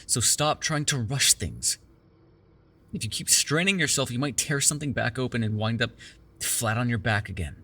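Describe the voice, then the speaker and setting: Man acting a sardonic vampire, bright lively voice. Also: medium pitch, moderate pace. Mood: concerned, scolding. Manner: wry, teasing, profane, conversational. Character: sardonic, caring immortal male vampire held captive in cuffs. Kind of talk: immersive ASMR roleplay acting.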